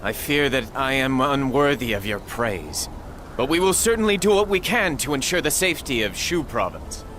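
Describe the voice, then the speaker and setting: An actor playing a humble, idealistic warlord of ancient China in a video game, earnest and formal. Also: male voice, medium pitch, lively intonation, normal speed, natural voice. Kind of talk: video game dramatic acting. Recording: voice under loud music.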